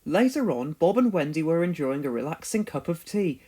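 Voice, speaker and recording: Read-along storyteller, mid-pitched, highly animated, expressive scripted delivery for young children. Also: normal speed. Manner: expressive, clearly paced storytelling. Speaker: storybook narrator.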